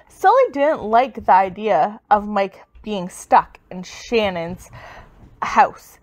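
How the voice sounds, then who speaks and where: A woman reading a children's story aloud with expressive, dramatic, emphatic delivery. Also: fairly high pitch. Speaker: storybook reader.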